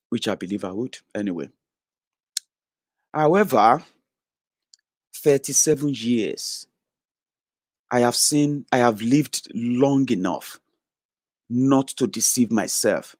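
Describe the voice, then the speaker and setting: Man, 30s, blunt profane rant, loud then muttering, dry close sound. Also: lowish voice, no room sound. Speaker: online political commentator. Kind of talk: ranting monologue to followers.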